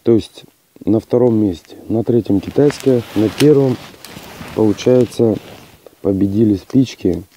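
Hoarse guy with a cold testing matches outside, low dark voice, a little faint hiss. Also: a little slow. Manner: plain, matter-of-fact with hesitant "eh" fillers. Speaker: outdoor channel host. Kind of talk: casual outdoor gear test. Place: outdoors on a rocky hillside.

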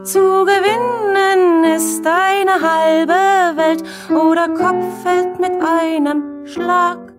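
Very high-voiced proud princess. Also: female voice, heavily acted. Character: proud, challenging young fairy-tale princess. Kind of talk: children's fairy-tale musical audio play. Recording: loud music behind the voice.

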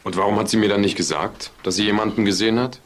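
A man's voice: fairly low pitch, fairly even intonation, fast talker, close to an everyday voice. An actor playing a dry, sceptical male detective sidekick in a German crime radio drama. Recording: very noisy background.

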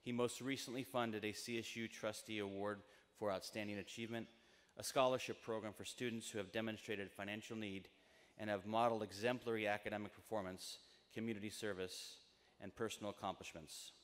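A middle-aged American man giving a formal ceremonial speech in measured, emphatic phrases at a podium microphone. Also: lowish voice, fairly even intonation. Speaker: university trustee speaking at commencement. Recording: long reverberant PA in large tent.

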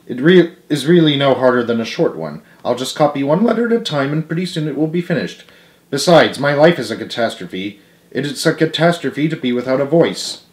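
A man's voice: mid-range voice, animated, a little fast, reverberant, lightly acted. A young man voicing the thoughts of an anxious but determined young male swan in a storybook, in plain self-talk. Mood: anxious, resolved.

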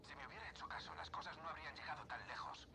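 An actor with an accent from Spain playing a blunt, weary space station colleague heard through a radio in a video game. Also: male voice, a little high, rapid-fire. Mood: bitter. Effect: radio filter. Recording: noisy radio link in game.

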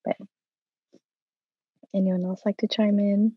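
A woman's voice: mid-range voice, little pitch movement, slow pace, breathy edge, no echo, phone-quality sound. A woman moderating a panel discussion over a video call, speaking earnestly. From Yemeni American.

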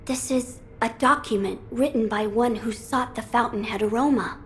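A woman's voice: fairly high pitch, a bit bright, a little hiss or hum, close to an everyday voice. Woman voicing a grave, thoughtful young boy lord. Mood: grave, pensive. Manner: formal, measured, pensive. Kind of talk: video game voice acting.

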